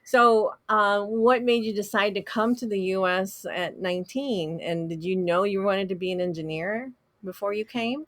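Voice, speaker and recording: A woman hosting an interview, asking her questions haltingly with fillers. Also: medium pitch, fairly even intonation, fairly bright tone, no echo. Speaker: interview host. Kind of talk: casual interview.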